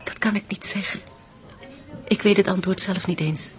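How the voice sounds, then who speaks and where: A woman playing a guarded, gentle widow torn in love, in an intimate and restrained radio drama style. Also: medium pitch, steady tone, moderate pace, whispered, faint music behind, natural voice. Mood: pained, hushed. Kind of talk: naturalistic radio drama acting.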